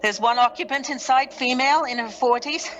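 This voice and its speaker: High-voiced officer on noisy radio. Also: female voice, a little fast. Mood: businesslike, uneasy. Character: female search-and-rescue officer reporting by radio. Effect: two-way radio. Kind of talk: radio play acting. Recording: muffled radio sound, loud background noise.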